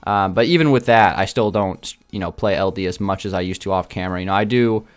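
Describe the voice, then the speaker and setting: A man's voice: deep voice, fast, smooth. A man chatting casually to his viewers in a gaming video, rambling a little and often repeating himself. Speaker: video game YouTuber.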